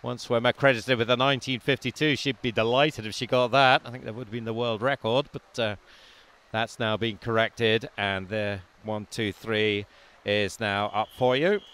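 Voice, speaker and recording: A man, not from Wales, giving live commentary on a swimming meet, calmly reading out lanes and times and lifting his voice during close finishes. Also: mid-range voice, normal speed.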